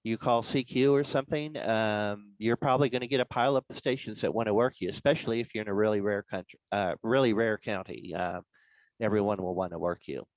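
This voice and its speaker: A low-pitched man explains things informally as a guest speaker at an online club meeting. His delivery is halting and explanatory, and the sound is dry and close. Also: no echo, rough recording. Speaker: guest speaker presenting contest rules.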